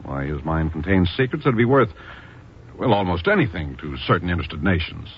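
Deep, animated American bureau chief. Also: male voice, fairly quick, slightly rough, heavily acted. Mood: grave. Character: earnest, worried American intelligence bureau chief. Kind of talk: 1950s radio drama acting. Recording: muffled, noisy old radio recording.

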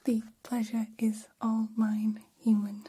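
A woman voicing a gentle, curious humanoid alien in whispered ASMR roleplay, speaking in soothing, stilted, formal phrases. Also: a little high, completely flat delivery, takes it slowly, heavily acted. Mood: grateful, gentle. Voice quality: breathy whisper.

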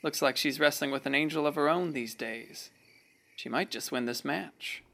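A man's voice: on the high side, moderate pace, vocal fry, bright, forceful tone. An actor playing a folksy, wry narrator of a radio play who muses on his small-town neighbors. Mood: wry musing. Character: folksy older male narrator watching townsfolk.

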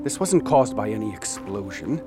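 An actor playing a persistent, probing newspaper reporter in an audio drama. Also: male voice, lowish voice, sing-song, moderate pace, music in the background. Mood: grim. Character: pushy newspaper reporter, war veteran.

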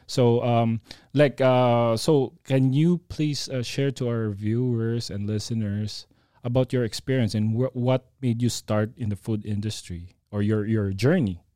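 A man from the Philippines, hosting a studio podcast interview and filling pauses with lots of uhs. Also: mid-range voice, fairly even intonation, mellow, dry recording. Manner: hesitant, many fillers, addresses viewers as guys. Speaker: podcast host.

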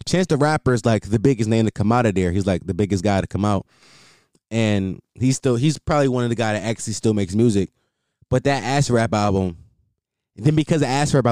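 A man's voice: mid-range voice, a little mellow, no room sound, clean studio sound. A young man rambling casually as a solo podcast host, repeating himself and filling with "like".

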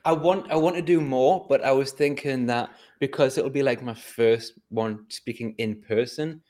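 A man in casual conversation on a podcast, relaxed and reflective, chuckling now and then. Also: mid-range voice, moderate pace. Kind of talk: casual chat. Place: indoors at home.

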